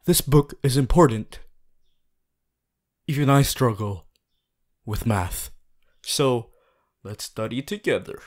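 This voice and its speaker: A man playing an earnest, bossy, worried young student hero in an audio roleplay, talking to the listener in an insistent, halting, emphatic way. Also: mid-range voice, lively intonation. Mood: coaxing. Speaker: voice actor.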